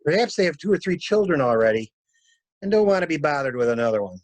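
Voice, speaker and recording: A man's voice: a little high, sing-song, fast, no room sound. A man acting a shrewd, blunt male traveler in a mystery drama reading, with clipped, wry, probing delivery. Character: male stranded traveler, shrewd, blunt, coolly inquisitive stranger.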